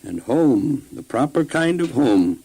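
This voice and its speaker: An actor playing a gentle, patient, devout prophet and leader in an earnest, deliberate audio drama style. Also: male voice, medium pitch, big pitch swings, slightly rough, exaggerated voice, low-quality recording. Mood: tender, contented.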